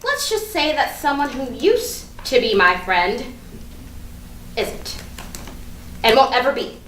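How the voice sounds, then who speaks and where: A woman's voice: high voice, sing-song, slow pace, fairly bright tone, heavily acted. Woman playing snarky petty girl, indignant, stage comedy, really up and down voice, echoey room. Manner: snarky, emphatic, dramatic. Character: petty, indignant young woman stewing over former friend. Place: live staged reading in a room. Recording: roomy, distant mic, faint noise.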